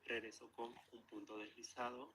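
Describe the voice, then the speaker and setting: A man's voice: mid-range voice, flat delivery, a little fast, pushed and bright, low-quality recording. A man narrating craft instructions step by step, as an instructor. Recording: clear background noise, room ambience.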